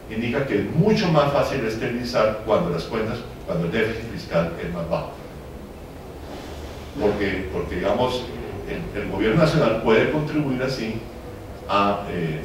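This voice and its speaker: A man's voice: on the low side, background noise. Man speaking in an echoey hall. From Venezuela. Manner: hesitant, many fillers and restarts. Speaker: forum panelist. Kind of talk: public policy talk. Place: conference hall. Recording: very echoey hall, distant mic.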